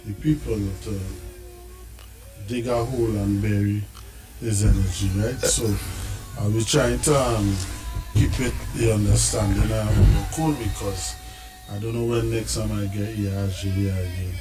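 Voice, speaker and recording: A man's voice: on the low side, steady tone, unhurried, music in the background, poor audio. A man speaking hesitantly in a live-stream chat, using lots of fillers.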